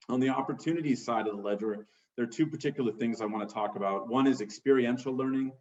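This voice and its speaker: A man's voice: mid-range voice, a little mellow. Middle-aged guy, school board candidate, formal and organized, dull roomy call audio. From United States. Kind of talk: forum opening statement. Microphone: video call.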